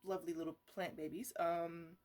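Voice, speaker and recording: A woman playing an eccentric, defensive botanist in an ASMR roleplay, rambling haltingly and repeating herself as she fusses over her plants. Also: on the low side, level tone, a little mellow, close to an everyday voice. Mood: defensive, coaxing. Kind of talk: ASMR roleplay, casual improvised chatter to plants.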